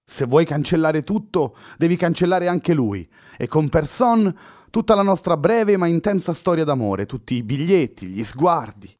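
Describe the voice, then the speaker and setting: A man's voice: on the high side, sing-song, moderate pace, a little mellow, no room sound, studio quality, voice pushed up. A man voicing a passionate young woman, speaking with fervent, raised emotional pleading.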